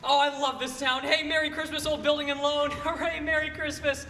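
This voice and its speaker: A man playing an excitable, stammering small-town family man in a stage radio play. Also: very high voice, a little fast, heavily acted. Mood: overjoyed. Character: desperate, then overjoyed small-town family man George. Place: stage in a hall. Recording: echoey hall, faint noise.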